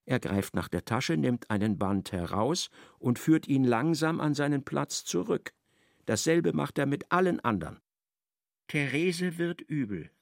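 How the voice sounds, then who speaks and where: A man narrating a literary radio drama in a measured, expressive storytelling manner. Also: mid-range voice, creaky, no room sound, studio quality.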